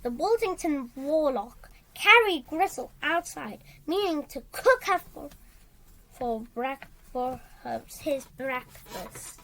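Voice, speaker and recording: A woman reads a children's storybook aloud in a high voice with lively, swinging intonation. She takes her time and moves haltingly through the sentences. She often repeats or corrects a word. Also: poor audio. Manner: halting, repeats and corrects words while reading. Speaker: storybook reader.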